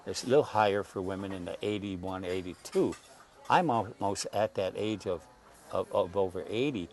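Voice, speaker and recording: A man in his eighties, a guest on a talk show, speaking slowly and haltingly and repeating words as he searches for them. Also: deep voice. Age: 80s. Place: Saipan.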